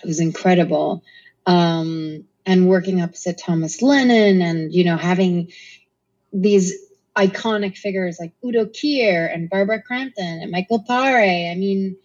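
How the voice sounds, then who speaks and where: A woman from Norway, an actress talking as a guest in a casual interview, chatty and quick to laugh, with many ums. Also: lowish voice, a little mellow. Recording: slightly muffled recording.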